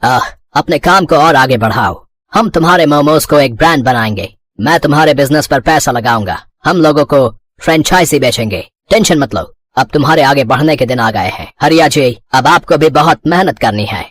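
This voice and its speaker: A man's voice: a little high, animated, moderate pace, a bit bright, no room sound. An actor playing a polite, earnest foreign businessman in a cartoon moral story, hesitant and mixing in English phrases. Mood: confident, encouraging.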